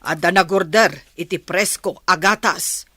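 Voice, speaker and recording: A woman's voice: lowish voice, unhurried, bright, forceful tone, dry recording. A woman playing a bossy, hard-hearted farm aunt in a radio drama, stern and commanding, with a Filipino accent. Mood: stern, scolding. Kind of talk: Ilocano radio drama acting.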